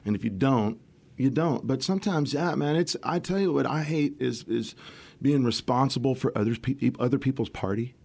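A middle-aged man, a musician guest, talking casually in a rambling way, his voice worn and raspy at the end of a tour. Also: medium pitch, moderate pace, a little mellow. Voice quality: gravelly. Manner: rambling, restarts often, swears casually. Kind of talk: casual interview chat.

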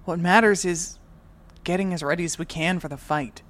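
A woman's voice: fairly low pitch, moderate pace, lightly acted. A woman playing an earnest, dogged moonbase rescuer in a naturalistic audio drama, with a dry manner. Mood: earnest, determined.

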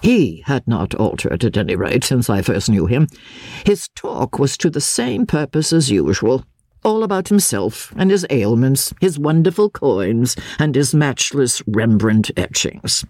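A man reading classic literature as a dramatic narration, with a measured, formal and theatrical delivery. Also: medium pitch, big pitch swings, darkish tone, no room sound, clean studio sound. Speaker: audiobook narrator reading as a lawyer.

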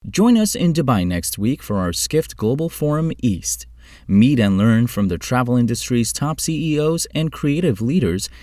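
A man with a fairly low voice delivers scripted news as a host. The recording is close and dry, with very little room sound. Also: darkish tone, no room sound.

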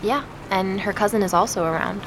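An actress playing a curious, skeptical woman investigator in an audio drama, speaking in a measured, cautious way. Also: mid-range voice, noisy background, close to an everyday voice. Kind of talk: audio drama narration and naturalistic dialogue.

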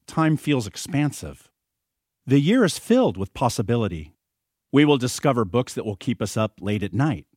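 A man reading a nonfiction audiobook as a teacher and author, deliberate and earnest. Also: mid-range voice, dry recording, clean studio sound. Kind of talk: scripted audiobook narration.

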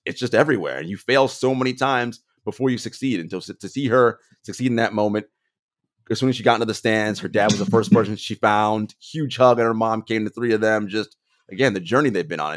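Low-voiced man chatting about sports. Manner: animated storyteller, laughs often. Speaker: podcast co-host. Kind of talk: casual sports chat.

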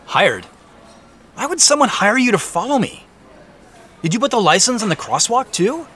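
An indignant, suspicious man in an audio drama. His voice is slightly high for a man and lively in pitch, with a pressing, defensive manner. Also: moderate pace, breathy edge, heavily acted. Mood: accusing, pressing. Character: earnest, indignant, suspicious man demanding answers. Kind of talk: naturalistic audio drama dialogue.

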